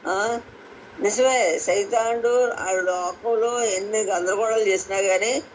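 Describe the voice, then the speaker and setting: A woman gives an informal devotional sermon over a conference call, explaining scripture and repeating phrases for emphasis. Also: medium pitch. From Andhra Pradesh, India. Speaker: lay preacher at a prayer meeting. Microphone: phone on a conference call. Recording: noisy conference call audio.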